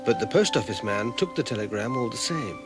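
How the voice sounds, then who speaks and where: A man giving plain, measured scripted narration for a children's programme. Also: mid-range voice, animated. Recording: narration over music. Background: music.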